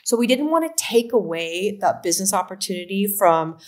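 A woman's voice: mid-range voice, a little fast. A woman in her fifties from Oregon with an American accent, talking casually as a company co-founder on a video call. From Oregon, USA. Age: 50s. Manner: animated, gestures while talking. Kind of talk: casual interview talk. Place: home room. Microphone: video call webcam.

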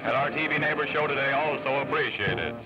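A man's voice: medium pitch, fast, over loud music. A middle-aged American man hosting an outdoor television event, interviewing and announcing in a courteous, formal way. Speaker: television host. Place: outdoor park gathering. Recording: dull 1950s television film soundtrack.

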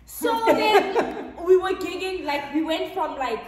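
A woman's voice: extremely high pitch, lively intonation, slow, heavy background noise. A woman in her twenties with a South African accent, telling stories in a casual group chat. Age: 20s. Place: indoor room, seated before a plain wall. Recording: echoey, reverberant room.

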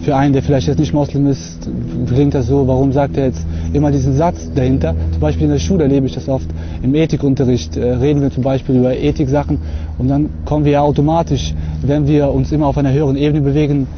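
A young man from Russia talking to camera outdoors on a lawn, earnest and hesitant, filling pauses often. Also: mid-range voice, level tone, lo-fi sound, background noise. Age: young adult. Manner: hesitant, many fillers, earnest. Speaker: convert giving a testimony. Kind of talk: unscripted talk to camera.

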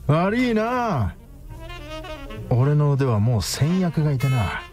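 A cocky, tough male detective in a radio drama. He taunts slowly in rough masculine speech, with a very lively rise and fall, over faint music. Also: on the high side. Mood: defiant.

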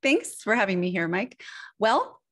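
An American woman in her thirties with a mid-pitched voice talks as a guest on a video-call interview. She tells personal stories in a candid, expressive, conversational way. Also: a little slow. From Northern California, United States. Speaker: guest, personal branding image expert. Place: indoor room at home. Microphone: video call microphone.

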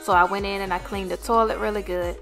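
A woman's voice: medium pitch, fairly even intonation, fast talker, a bit bright. An American woman giving a casual, chatty voice-over narration as a vlog host. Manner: chatty, informal. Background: background music.

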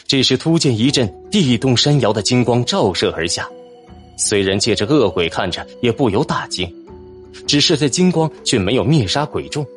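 A man's voice: mid-range voice, expressive, normal speed. A man reading a fantasy novel as a dramatic audiobook narrator. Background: music.

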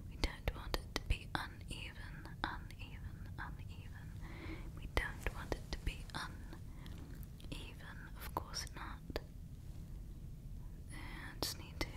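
A woman voicing a doting female evil scientist in an ASMR roleplay, whispering softly close to the mic and fussily repeating her words. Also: high-pitched. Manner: soft whispering, repeats words fussily, calls listener darling. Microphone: close ASMR microphone.

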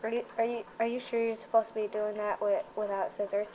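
A woman voicing a timid plush toy kitchen assistant who asks meek, quiet questions in a noisy home kitchen recording. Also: mid-range voice, little pitch movement, quick pace, natural voice.

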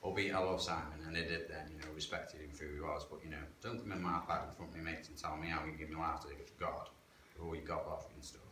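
Low-voiced man in echoey hall. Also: flat delivery, fast, hardly any pauses, bright, forceful tone. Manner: frank, plain-spoken, chatty with local slang. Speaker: guest sharing life story. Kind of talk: candid personal testimony. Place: church hall, indoors, medium-sized. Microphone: far camera. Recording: echoey hall with background hiss.

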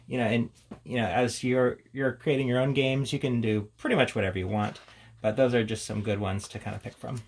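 Casual man with a creaky voice. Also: medium pitch, a little hiss or hum. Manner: hesitant, informal, thinking aloud. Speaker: host of a tabletop gaming channel. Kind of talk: casual unscripted product walkthrough.

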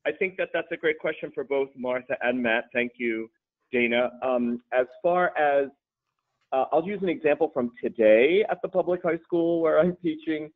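A male high school language teacher talking on a panel, with wry, self-deprecating humor. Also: moderate pace. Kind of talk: casual panel discussion. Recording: tinny phone-like video call audio.

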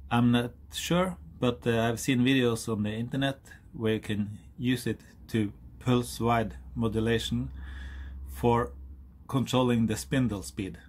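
A man in his forties from Norway, speaking English with a Norwegian accent, explaining a hobby project in a halting, self-correcting way. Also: mid-range voice, fairly even intonation. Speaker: hobbyist YouTuber. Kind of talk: casual DIY explainer. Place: outdoors on a hilltop, then indoors at a workbench.

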